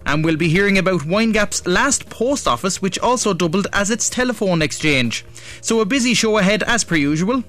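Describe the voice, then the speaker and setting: Higher-pitched Irish male radio host. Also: a bit bright, no room sound. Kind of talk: scripted radio show presenting.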